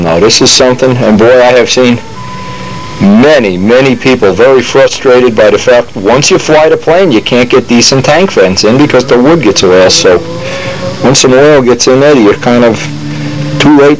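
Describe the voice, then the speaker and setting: A man's voice: mid-range voice, normal speed, low-quality recording. An older man, a model airplane builder, talks casually while working at his hobby workshop bench, often breaking off mid-sentence and correcting himself.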